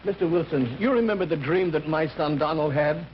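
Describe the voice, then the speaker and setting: A man's voice: fairly high pitch, fairly quick, lightly acted. A man playing a meek, anxious father, fretful and pleading, in an old-time radio drama. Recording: noisy, muffled vintage radio recording.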